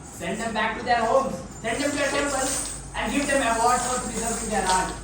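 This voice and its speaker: A man's voice: very high-pitched, normal speed. A man with an Indian accent acting a defiant, idealistic adult son and dancer in a stage play, theatrical and argumentative. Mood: accusing. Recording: echoey stage recording, distant mic, faint noise.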